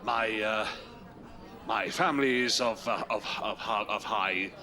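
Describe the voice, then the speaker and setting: Slow, stammering, troubled old father. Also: on the low side, pushed and bright. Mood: flustered, halting. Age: older. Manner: halting, stammering, repeating words, clearing throat. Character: troubled, broken elderly father haunted by debtors' prison. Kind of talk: audio drama acting. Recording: music behind the voice.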